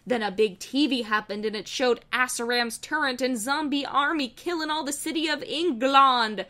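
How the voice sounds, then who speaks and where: A woman's voice: high-pitched, normal speed, bright, forceful tone. A woman narrating amateur fan fiction as a dramatic comic reading, in an earnest, melodramatic manner.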